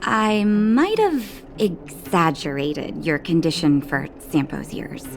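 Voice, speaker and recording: A calm woman doctor from a video game, with a slightly low voice. She speaks gently and with composure, reassuring and caring. Soft music plays behind her scripted lines. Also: slow pace, exaggerated voice.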